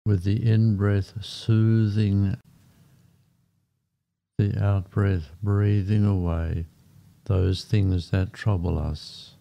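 A man guiding a meditation in a calm, unhurried manner, speaking in short phrases with long pauses between them. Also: fairly low pitch, creaky. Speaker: meditation guide. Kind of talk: guided meditation narration. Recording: muffled, dull lo-fi sound. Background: background music.

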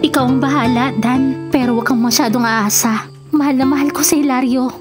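Coy young island sweetheart played by a woman, light, high voice over clear background music. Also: steady tone, brisk, lightly acted. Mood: gentle, firm.

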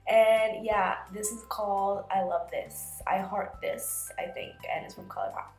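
A woman's voice: mid-range voice, steady tone, a little slow, a little mellow. A woman in her twenties, sick with a cold, chatting through a makeup tutorial in a small bedroom, talking into a camera close in front of her. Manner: chatty, filler-heavy, explains each step. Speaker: beauty vlogger. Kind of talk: casual makeup tutorial narration. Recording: roomy bedroom echo, faint music behind.

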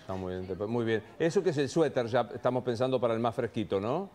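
A man's voice: mid-range voice, quick pace, a little mellow. A man in his fifties with a Rioplatense accent, hosting a live TV segment from a studio, chatty and joking as he asks questions. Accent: Rioplatense Spanish. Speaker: TV host. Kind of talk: casual live TV chat.

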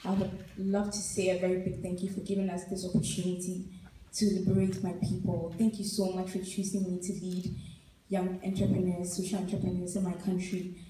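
A woman's voice: lowish voice, level tone, brisk, dark tone, recorded from a distance, slight background noise. Earnest woman from Ghana speaking in an echoey hall. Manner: earnest, grateful. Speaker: award winner giving a speech. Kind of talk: acceptance speech. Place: large hall with stage. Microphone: stage microphone recorded from the audience.

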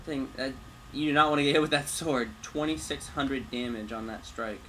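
Male gamer, medium pitch, informal walkthrough commentary over audible game sound. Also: moderate pace, bright, forceful tone, a little hiss or hum. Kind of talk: casual gameplay commentary. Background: fantasy game combat sounds.

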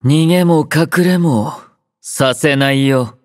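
An actor playing a gentle, quietly caring young male android soldier in a Japanese game, soft-spoken, drowsy and composed, with a mildly teasing manner. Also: a little high, slow, no echo, clean studio sound. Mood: cool, resolute. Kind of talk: Japanese game character voice acting.

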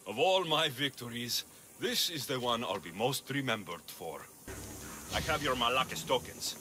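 A man's voice: medium pitch, lively intonation, normal speed, sharp tone. An actor playing a dry-witted male mercenary hero with a sardonic delivery. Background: game music.

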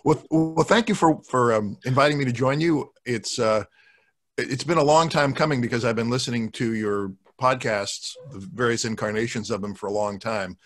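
A man in his sixties talking as a podcast guest in a casual, thoughtful, halting way, close to a desk microphone at home. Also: mid-range voice, soft background music. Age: 60s. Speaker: podcast guest, radio show producer. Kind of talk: casual discussion of old radio and film. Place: home room, video call. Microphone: large desk microphone, close.

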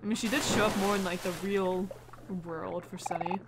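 A woman's voice: medium pitch, steady tone, normal speed, noisy background. A woman hosting a gaming video with casual, reactive commentary, muttering informal asides to herself.

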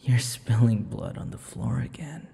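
A man playing a possessive vampire lover in an intimate ASMR roleplay, teasing and menacing, murmuring slowly with drawn-out words. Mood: coaxing, possessive. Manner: slow, breathy murmurs, drawn-out words, low chuckles. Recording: long reverb, faint background noise.

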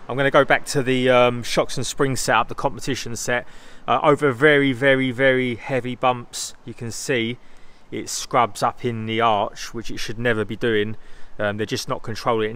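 A man talks eagerly and loosely into a phone camera while showing off his car at night. His voice sits at a middling pitch. He rambles through car jargon in a casual, unscripted way. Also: a bit bright. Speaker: car vlogger. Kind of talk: casual car vlog commentary. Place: in and around cars outdoors at night, engine noise. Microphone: phone camera held by the speaker.

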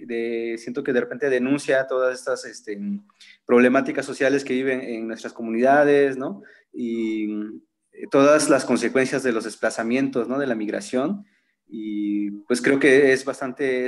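A man in his thirties, a native Zapotec speaker, talking thoughtfully in a seminar over a laptop video call, with many fillers and restarts. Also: mid-range voice. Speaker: seminar participant. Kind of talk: reflective conversational talk. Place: room at home with framed pictures. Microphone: laptop webcam on a video call.

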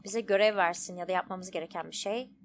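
A woman's voice: on the low side, fast. A woman giving casual, informal let's play commentary, thinking aloud as she goes. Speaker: gaming streamer.

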